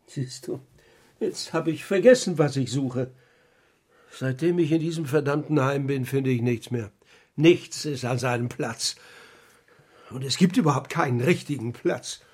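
An actor playing a grumpy, forgetful old pigeon keeper in a children's radio play, with a rough, husky voice. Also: male voice, medium pitch, a little slow, darkish tone. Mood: muddled, grumbling. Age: older. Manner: grumbling, forgetful.

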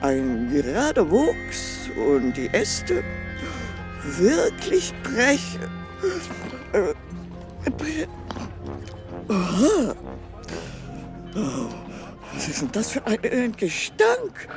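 A woman's voice: medium pitch, wildly swooping pitch, very slow, pauses often, exaggerated voice. An actress playing a haughty, impatient queen in a children's radio play, pompous and exclamatory, sprinkling in French words. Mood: imperious, inspecting. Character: haughty, impatient queen, easily disgusted, scornful. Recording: studio radio play with music.

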